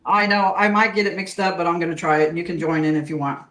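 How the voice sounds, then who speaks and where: A woman's voice: lowish voice, fast talker, a bit bright. Chatty woman, echoey kitchen. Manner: chatty and earnest, drifting into preaching. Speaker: host. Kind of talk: casual chat while cooking. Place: home kitchen.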